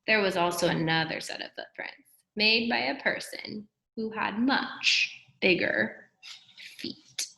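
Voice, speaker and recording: A woman reading a children's story aloud in an animated, expressive storyteller's way. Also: medium pitch, takes it slowly, gravelly. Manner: animated, acts out dialogue. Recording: echoey room.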